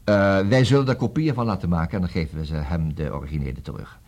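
An actor with a Netherlandic Dutch accent playing a busy, brisk, distracted male lawyer in a radio comedy, repeating himself and hesitating. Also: deep voice, darkish tone. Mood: smooth, self-assured. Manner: hesitant fillers, repeats words, juggles several conversations.

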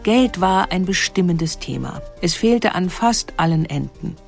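A woman reading scripted documentary narration in a measured, explanatory way. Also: fairly low pitch, animated, gravelly, soft background music.

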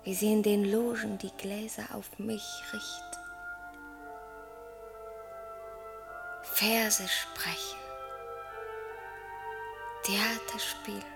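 An actress in a German radio play as a restless, vain, stage-struck young wife, breathy and intense, often sinking towards a whisper. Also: female voice, mid-range voice, level tone, loud music behind the voice. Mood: dreamy, rapt. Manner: dreamy, intense, drawn-out phrases.

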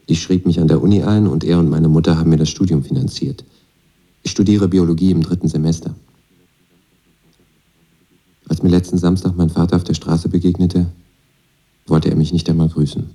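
A very low, dark male voice as a young student who has turned against his military father. He recounts his life in a laconic, matter-of-fact radio monologue, with a mellow, unforced tone. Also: steady tone, a little fast, slightly rough. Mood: defiant. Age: young adult. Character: disillusioned young Moscow student, pacifist son of army father. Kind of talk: radio drama monologue, first-person testimony.

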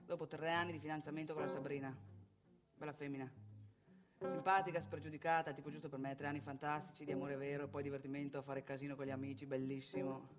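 A woman's voice: low-pitched, lightly acted. A woman playing a brash, bitter, boastful womanising divorced salesman in a theatrical monologue, with colloquial, crude, volatile delivery and rhetorical questions. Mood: nostalgic, wry. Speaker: stage monologue performer. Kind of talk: theatrical monologue addressed to audience.

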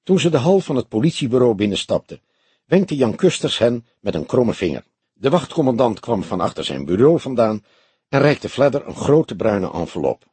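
A man's voice: medium pitch, sing-song, moderate pace, warm tone, slightly rough, no echo. A man reading scripted crime fiction as an audiobook narrator.

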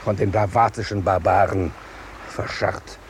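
An actor playing a solemn, suspicious soldier with formal diction in a 1950s German radio play. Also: male voice, low voice, fairly even intonation, measured pace, slight background noise.

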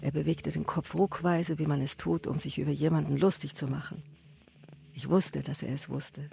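Grave remembering wife, woman, low register, gravelly fry, measured reflective monologue, radio play. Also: steady tone, warm tone. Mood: quiet, remembering. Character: grave woman remembering her starved husband's return from war. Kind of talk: literary radio drama monologue. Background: music.

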